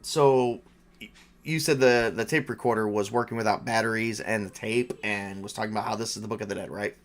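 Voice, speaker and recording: A man's voice: on the low side, fairly even intonation, a little fast, voice pushed down, natural voice. Guy doing a nervous college occult nerd in a tabletop game, incredulous, blunt, kinda rambly. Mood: uneasy, reasoning. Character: anxious male college student, skeptical occult scholar.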